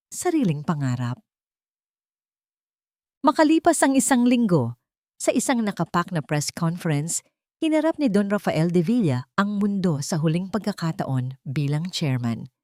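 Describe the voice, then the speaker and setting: A woman's voice: on the low side, warm tone, no echo, studio quality. A woman with a Filipino accent narrating a scripted dramatic story as a storyteller.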